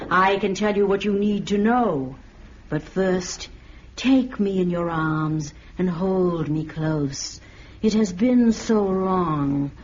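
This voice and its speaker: A woman's voice: on the low side, highs cut off, a little hiss or hum. An actress playing a mysterious, seductive woman who hides a monstrous nature, speaking in an alluring tone. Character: seductive witch-like woman, secretly a beast.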